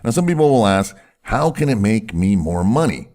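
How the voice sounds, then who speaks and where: A man with a low voice that swings widely in pitch gives an instructional talk as a doctor hosting a health video. He leans on key words, slowing down and sinking his voice for effect. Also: very animated, darkish tone. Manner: emphatic, slows down and drops low on key words.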